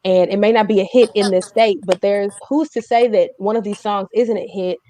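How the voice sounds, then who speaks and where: A woman's voice: medium pitch, steady tone, fairly quick, warm tone, no room sound. Casual, chatty woman hosting, dry close sound. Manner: informal, chatty, joking. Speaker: host of a music community livestream. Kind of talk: casual livestream chat.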